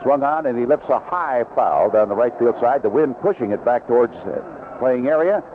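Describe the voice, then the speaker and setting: A tinny, narrow old radio broadcast with heavy noise carries a man's baseball play-by-play. His voice is medium in pitch. He describes the field in an unhurried way and lifts his delivery when the ball is in play, in the manner of 1970s sports radio. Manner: unhurried description between plays, rising excitedly on action. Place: outdoor ballpark broadcast booth.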